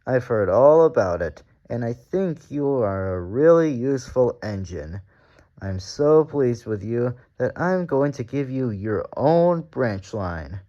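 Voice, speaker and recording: A man's voice: medium pitch, sing-song, takes it slowly, dark tone, dull audio. A man voicing a kindly, proud male railway controller in a children's storybook, speaking with approval. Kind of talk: children's storybook read-aloud.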